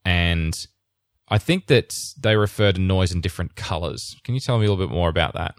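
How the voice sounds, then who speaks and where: Deep-voiced man hosting a tech podcast. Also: brisk, dry recording, clean studio sound. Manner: rambling, many restarts, laughs easily. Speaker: podcast host. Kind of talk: casual technical chat.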